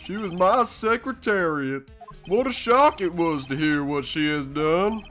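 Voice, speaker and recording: An arrogant male executive from a video game, smug and theatrical. His high, very lively voice drips with condescension and grandiose affectation. Faint music plays underneath. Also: a little slow. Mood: smug, evasive.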